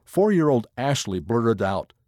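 A man giving a scripted narration of an article in a measured, expressive reading voice. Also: mid-range voice, no room sound, clean studio sound.

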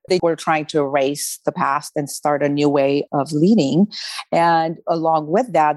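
A middle-aged woman from Romania tells her story with a slow, deliberate pace. Her voice sits low, and the remote-call recording is dry and close. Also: no echo. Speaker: guest. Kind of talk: interview storytelling. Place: home, joining remotely. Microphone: remote video call.

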